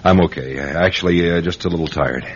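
Radio drama actor as testy, self-important male writer, middle-aged; deep voice, hesitant delivery, lo-fi sound. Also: quick pace, a little hiss or hum, lightly acted. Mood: weary, testy. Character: self-important, impatient author in middle age with heart trouble. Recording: dull lo-fi radio recording over music.

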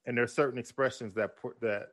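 A man's voice: medium pitch, fairly even intonation, brisk, a bit bright. Playful podcast co-host man. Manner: laughs often, eager and playful. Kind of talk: casual chat.

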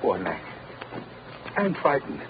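A man's voice: mid-range voice, wildly swooping pitch, measured pace. A man acting a terrified young condemned prisoner, pleading in panic in an old radio melodrama. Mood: frightened, faltering. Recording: very noisy, dull old-time radio recording.

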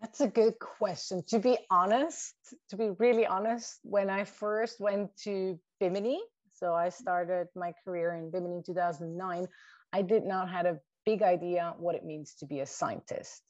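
A woman's voice: lowish voice, fairly even intonation, moderate pace, darkish tone, dry recording. A woman from Switzerland whose first language is Swiss German, a shark scientist answering interview questions thoughtfully, listing points with small restarts. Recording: dull lo-fi dry sound.

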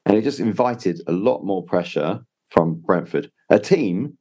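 Deep, mellow man explaining. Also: lively intonation, slightly rough. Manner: measured, explaining. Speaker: podcast co-host. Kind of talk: casual football analysis.

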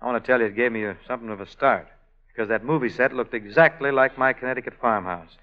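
A man's voice: mid-range voice, fast, muffled recording, close to an everyday voice. An actor playing a lovesick innkeeper who tells his story wryly and easily, in 1940s radio acting on an old broadcast. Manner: wry, easygoing storytelling. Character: lovesick, wry male innkeeper and singer.

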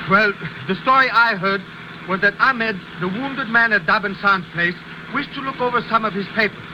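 An actor playing a fussy, plaintive foreign reporter in a 1950s radio drama, with formal phrasing. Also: male voice, high-pitched, moderate pace, close to an everyday voice. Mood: earnest. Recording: noisy vintage radio recording.

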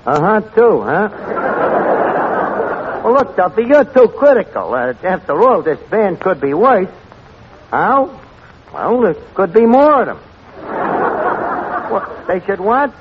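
An actor playing a sardonic, lowbrow tavern manager with a New York accent and deadpan, ungrammatical delivery in 1940s radio comedy style. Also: male voice, slow, pauses often, exaggerated voice. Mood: wry, dismissive. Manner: deadpan, mangles grammar and big words. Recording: tinny, noisy old radio broadcast recording.

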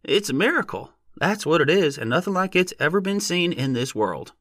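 A man voicing an excited, boastful rustic odd-job man in an audiobook, with a squealing, breaking voice. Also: a little high, moderate pace, dry recording, studio quality, pitched above the performer's own voice. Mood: frantic wonder. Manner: folksy, exclaiming. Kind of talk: audiobook character voice.

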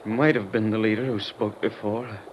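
An actor playing an earnest army major undercover as a gunfighter, telling his story gravely in an old-time radio drama. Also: lowish voice, steady tone, moderate pace, dull audio, close to an everyday voice. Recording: noisy vintage radio recording.

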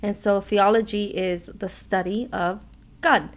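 Raspy American woman hosting a devotional. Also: mid-range voice, steady tone, a little slow. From Miami, Florida, United States. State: hoarse from allergies. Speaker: devotional podcast host.

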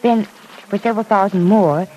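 A woman's voice: medium pitch, moderate pace, mellow, lo-fi sound, slight background noise, close to an everyday voice. Penny, a woman acting the earnest heroine. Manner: earnest, explanatory storytelling. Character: Penny Gibbs, a kind, well-off young woman. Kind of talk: 1940s radio drama acting.

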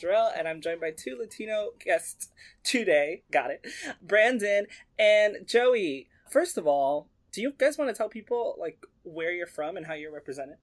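A man hosting a gaming podcast, talking in a casual, upbeat and excitable way. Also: high-pitched. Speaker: podcast host.

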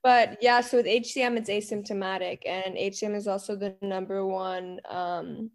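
A young woman from Miami with her own unusual, faintly Miami-tinged accent, a bubbly and animated guest in a casual interview. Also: medium pitch, moderate pace. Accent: her own unusual accent, slightly Miami. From Miami, Florida. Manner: bubbly, animated, laughs often.

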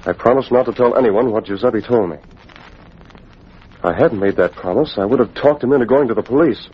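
An actor playing a resolute masked crime-fighter, husky, decisive and commanding, in a 1940s radio drama. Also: male voice, mid-range voice, a little fast, close to an everyday voice. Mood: determined. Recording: muffled old radio recording.

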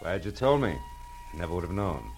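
A man's voice: deep voice, lively intonation, fairly quick, a little mellow, faint music behind. An actor playing a wry, wisecracking male private detective in an old radio detective drama. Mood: casual, probing. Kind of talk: 1940s radio detective drama acting, with narration.

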